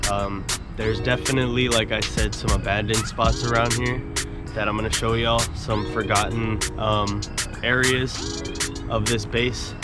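A young man hosting an exploration vlog, chatting casually to viewers with lots of fillers while walking through an abandoned base. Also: medium pitch. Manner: easygoing, filler-heavy, addresses viewers directly. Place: abandoned military base, outdoors and inside empty buildings.

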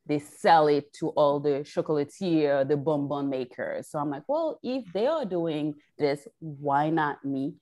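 A woman's voice: low-pitched, lively intonation, a little slow, hardly any pauses, mellow. A woman in her forties from Haiti, a guest on a video call, explaining her work in an earnest storytelling way. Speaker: guest chocolate maker.

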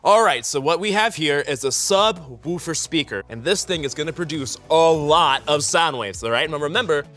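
A man in his twenties giving an upbeat, encouraging science demonstration for children, with an animated and exclamatory manner. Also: a little high, moderate pace, hardly any pauses. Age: 20s. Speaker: science show host. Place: TV studio set. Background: music.

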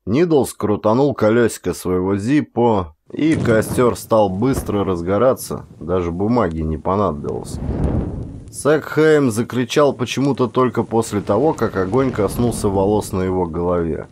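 A man reading a story as an audiobook narrator, in an expressive, dramatic storytelling manner. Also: lowish voice, moderate pace, noisy background.

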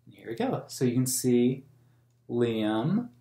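An American man in his thirties reading a picture book aloud to children in a gentle, explanatory way. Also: mid-range voice, sing-song, takes it slowly. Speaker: museum story time host. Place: small plain room indoors. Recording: roomy indoor echo.

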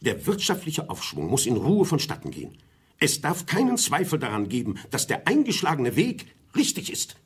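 An actor playing a stubborn, demanding trade union boss in a German radio drama, blunt and insistent. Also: male voice, a little high, wildly swooping pitch, moderate pace, slightly rough, full character voice.

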